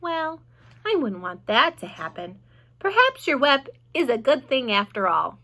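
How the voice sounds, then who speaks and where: An innocent young male pig, played by a woman reading a storybook, speaking in slow, drawn-out, plaintive words. The voice is bright and rises and falls a lot. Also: high voice, sing-song, slight background noise, voice pushed up, full character voice. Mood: earnest.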